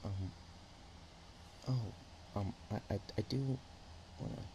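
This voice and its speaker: A man voicing a shy, playful young dragon-dog girl in an ASMR roleplay, speaking softly and haltingly with naive affection. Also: on the low side, background noise. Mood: playful, cozy. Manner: hesitant, halting, giggly, soft-spoken. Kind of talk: ASMR roleplay, one-sided intimate chat.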